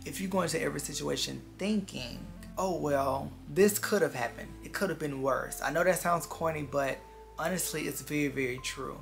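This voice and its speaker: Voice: mid-range voice, normal speed, vocal fry. A vlogger in their twenties chatting casually and reflectively to viewers in an earnest, confiding way, repeating phrases for emphasis. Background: background music.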